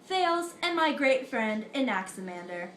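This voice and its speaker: Earnest Greek philosopher, played by a teenage girl; high, roomy school-project sound. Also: very animated, a bit bright, slight background noise. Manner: earnest, recited, a little halting. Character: ancient Greek philosopher Pythagoras, an older man, earnest. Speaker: student in a school history project. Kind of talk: amateur mock interview, reciting facts.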